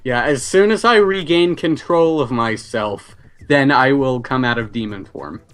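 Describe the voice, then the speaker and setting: A man's voice: mid-range voice, measured pace, fairly bright tone. A man explaining his character casually as a player in a tabletop game session.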